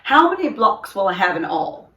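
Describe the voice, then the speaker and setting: A woman's voice: mid-range voice, very animated, moderate pace, bright, forceful tone. A woman voicing a cheerful, helpful young boy cat pupil in a storybook, upbeat and encouraging. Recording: roomy echo, distant microphone.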